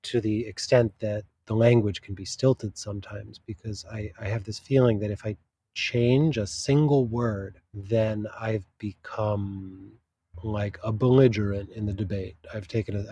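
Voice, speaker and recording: A man's voice: lowish voice, warm tone, breathy edge. A man, a translator, answering interview questions thoughtfully, often restarting his sentences as he searches for words. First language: English. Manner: thoughtful, halting, many restarts. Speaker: literary translator, guest.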